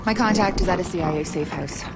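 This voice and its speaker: A no-nonsense female intelligence chief, played by an actress in a game cutscene. She briefs in a crisp, businesslike way, fairly low and quick, over clear background music. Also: lightly acted. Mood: briefing. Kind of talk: dramatic game cutscene acting.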